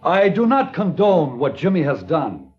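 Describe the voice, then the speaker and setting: An actor playing an earnest small-town preacher in a 1950s radio drama, reading scripture in a measured, solemn way. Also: male voice, on the high side, big pitch swings. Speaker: reverend. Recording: dull old radio transcription.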